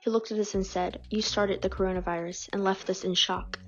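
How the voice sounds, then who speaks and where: A woman reading out submitted personal stories in a calm, measured way. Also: medium pitch. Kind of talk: scripted reading. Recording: dull lo-fi audio with faint music.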